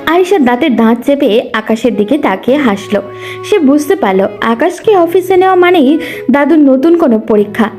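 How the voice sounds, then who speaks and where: A woman's voice: high voice, animated, normal speed, darkish tone, faint music behind. A woman narrating a scripted dramatic story.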